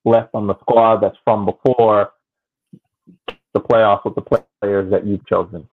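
A man's voice: fairly low pitch, fairly even intonation, measured pace. A man chatting casually about sports as a podcast panelist, heard through muffled, narrow audio.

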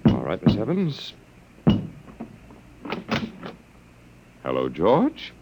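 A wry, resigned but stubborn captive in classic radio drama style. His voice sits a bit low and moves widely in pitch, delivering dry, sardonic wit. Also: huge pitch swings, unhurried, loud music behind the voice, rough recording. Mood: wry, resolute. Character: wry, resigned, stubborn human prisoner of aliens. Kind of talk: 1950s radio drama acting.

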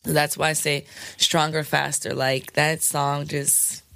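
A woman DJ with a scratchy, hoarse voice, chatting frankly in an interview with lots of fillers and laughs. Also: low voice, flat delivery, takes it slowly, a bit bright, no echo. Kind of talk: candid casual interview talk.

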